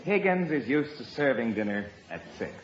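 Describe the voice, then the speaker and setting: An actor playing a mild-mannered, sheepish husband in a 1950s radio sitcom, hesitant and placating. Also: male voice, mid-range voice, big pitch swings, moderate pace, close to an everyday voice. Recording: dull, roomy radio recording, studio audience.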